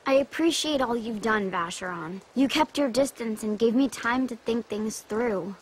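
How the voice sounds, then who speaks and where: A woman's voice: on the high side, moderate pace, a little hiss or hum, natural voice, low-quality recording. A young woman voicing an earnest, emotional young woman in an English-dubbed video game drama. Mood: earnest, resolute. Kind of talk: dramatic English dub voice acting.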